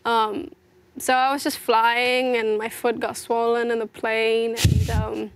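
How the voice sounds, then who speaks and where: A young woman from South Sudan talks through her story in a relaxed interview, earnest and reflective. Her voice sits a little high and carries a lot of gravelly fry, and she fills her thoughts with "you know" as she goes. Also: slow pace, a little hiss or hum. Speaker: interview guest, fashion model. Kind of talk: casual personal interview.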